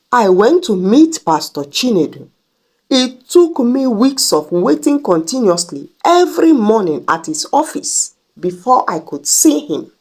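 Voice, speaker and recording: Slow, expressive woman storyteller. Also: on the high side. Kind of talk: first-person folktale narration.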